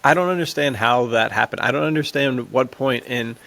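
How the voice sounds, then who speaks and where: A man's voice: mid-range voice, fairly quick, vocal fry, a bit bright. A man co-hosting a podcast, chatting casually with slangy enthusiasm. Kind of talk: casual chat.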